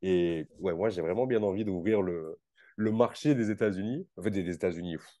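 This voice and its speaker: A man in his late twenties with a lowish, mellow voice, hosting an interview in an informal, slangy register. The sound is dry and close, like a chat from a bedroom. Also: brisk, dry recording. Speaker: interviewer and host. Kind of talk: casual video call interview. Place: bedroom at home.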